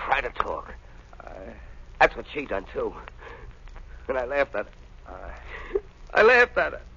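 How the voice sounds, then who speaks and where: A man's voice: mid-range voice, huge pitch swings, slow, creaky, sharp tone, exaggerated voice. An actor playing a cornered, gloating gangster killer in 1940s radio drama style, with snarling, slangy tough-guy talk. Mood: crazed. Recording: dull, noisy old radio recording.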